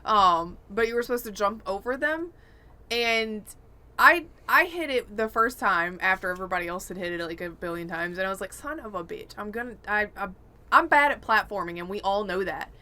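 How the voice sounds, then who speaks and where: A woman with a bright, forceful voice chats casually as a podcast co-host. Her pitch is average for a woman, and she tells anecdotes with exasperated animation, mimicking bits of dialogue. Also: lively intonation, brisk. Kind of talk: casual gaming podcast chat.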